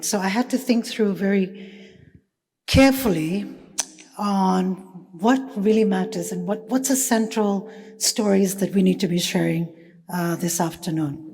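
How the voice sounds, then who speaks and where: A woman from Fiji giving a thoughtful conference talk, with many pauses and fillers. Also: mid-range voice, warm tone, slightly rough. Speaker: panel speaker. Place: conference hall. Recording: reverberant conference hall.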